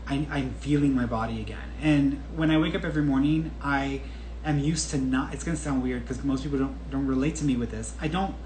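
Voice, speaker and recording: A man's voice: mid-range voice, steady tone, a little fast, a little mellow. A man in his thirties, a podcast host answering a viewer's question in a casual, candid, chatty way. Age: 30s. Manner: chatty and candid, with frequent restarts and laughs. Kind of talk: casual solo talk. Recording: echoey room with faint background noise.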